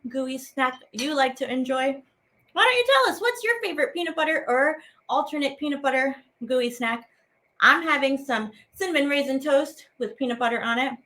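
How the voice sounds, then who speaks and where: Female kids' teaching artist, high voice, playful and encouraging, casual and simple delivery straight to the audience. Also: a bit bright. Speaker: teaching artist hosting a children's program. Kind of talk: children's activity lesson, casual and direct to viewers.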